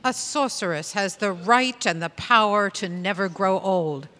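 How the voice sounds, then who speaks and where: A woman playing a wry, fond, ageless sorceress who narrates a comic tale in a deliberate, dramatic manner. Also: mid-range voice, expressive, fairly bright tone. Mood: fond, exasperated. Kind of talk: comic storytelling narration in audio theatre. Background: music.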